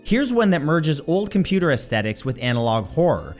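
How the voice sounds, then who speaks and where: Male presenter, fairly high voice, very animated, suspenseful scripted list narration with emphatic phrasing, music underneath. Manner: suspenseful, emphatic delivery, slowing on key words. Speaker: presenter of a countdown list show.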